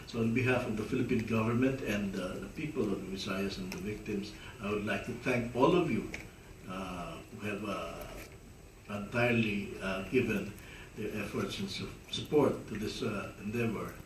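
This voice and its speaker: A man speaking as a consul general, giving formal thanks with many hesitant filler pauses. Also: lowish voice, slight background noise. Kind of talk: formal thank-you remarks. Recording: echoey room, distant mic.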